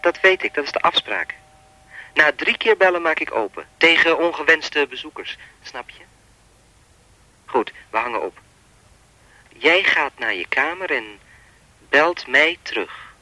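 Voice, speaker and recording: An actor playing a sinister, persistent phone stalker in a Dutch radio play, talking in a pompous, wordy, coolly controlling way. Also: male voice, on the high side, lively intonation, unhurried, long pauses, sharp tone, no room sound, exaggerated voice, poor audio. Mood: controlling, menacing.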